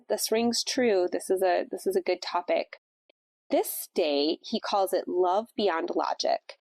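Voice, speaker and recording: A woman's voice: lowish voice, darkish tone, no echo. A woman hosting a podcast, congested and stuffed up from a cold, talking in a casual, reflective way about faith. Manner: conversational, reflective. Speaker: podcast host. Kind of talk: casual devotional talk.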